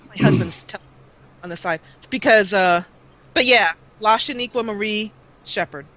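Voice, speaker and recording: Bright-voiced chatty woman in her forties. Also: mid-range voice, moderate pace. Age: 40s. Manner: rambling, chatty, with many fillers. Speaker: guest let's player. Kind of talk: casual interview chat. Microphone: old duct-taped mic that cuts out.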